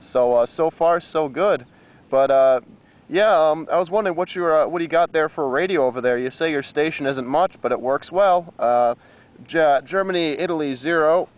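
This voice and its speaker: A man's voice: on the high side, moderate pace. An American man chatting casually as an amateur radio operator, with a hesitant, rambling manner. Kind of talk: casual radio contact chat.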